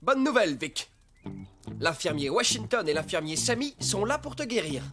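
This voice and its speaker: A woman voicing a bossy, scheming, energetic male animal gang leader in a French cartoon dub, theatrical and commanding. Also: mid-range voice, normal speed, over music, close to an everyday voice. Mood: cheerful, confident.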